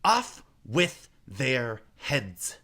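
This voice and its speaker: A man voicing a domineering, furious queen from a storybook, shouting severely and imperiously. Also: mid-range voice, very animated, extremely slow, voice pushed up, heavily acted.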